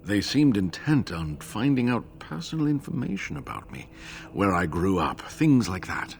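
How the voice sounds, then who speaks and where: A man playing an injured, earnest scholarly monk in a video game, speaking in a halting, expressive way. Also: lowish voice, creaky, a little hiss or hum. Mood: thoughtful, wary. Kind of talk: dramatic video game dialogue.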